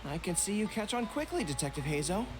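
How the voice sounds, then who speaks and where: A man's voice: high-pitched, sing-song, quick pace, noisy background. A man voicing a young, courteous, dutiful general in a video game, speaking formally and seriously. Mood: earnest, businesslike.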